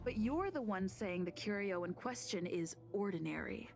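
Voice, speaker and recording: A woman's voice: medium pitch, expressive, normal speed, vocal fry, over music. An actress playing an earnest, composed young woman in a video game, explaining herself firmly. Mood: defensive. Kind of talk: scripted video game voice acting.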